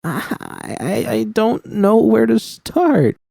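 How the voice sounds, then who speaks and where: A distraught young woman, raw and self-critical, her voice pushed well up into a high, cracking register, as a male reader puts her on. The pleading breaks through in a dry, close recording with a dark underlying tone. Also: big pitch swings, slow pace, vocal fry, no room sound, exaggerated voice. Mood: tearful. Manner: breaking, pleading, near sobbing. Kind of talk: fan audiobook reading.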